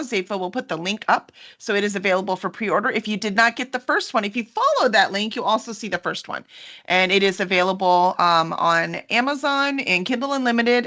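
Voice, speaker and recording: Bright-voiced woman hosting a casual book chat. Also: medium pitch. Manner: effusive, informal. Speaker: host promoting a book release.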